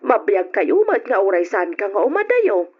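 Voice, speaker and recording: A woman with a Filipino accent playing a concerned older mother in a radio drama, questioning and gently reproving. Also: medium pitch, very animated, normal speed, slightly rough. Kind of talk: old-fashioned Ilocano radio drama acting. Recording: dull lo-fi old radio drama.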